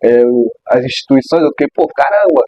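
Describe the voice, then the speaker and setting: A young man hosting a podcast, his voice mid-pitched and relaxed. He asks questions in an easy, slangy way and often breaks off to restart a phrase. The recording is slightly muffled and lo-fi. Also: brisk. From Caju, Rio de Janeiro, Brazil. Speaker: podcast host. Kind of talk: casual interview. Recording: slightly dull lo-fi mic.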